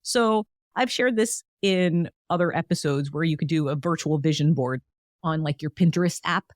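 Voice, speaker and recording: A woman's voice: low-pitched, moderate pace, no echo, clean studio sound. A young woman hosting a solo self-help podcast, talking in an encouraging, chatty way with frequent "like" fillers. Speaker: podcast host.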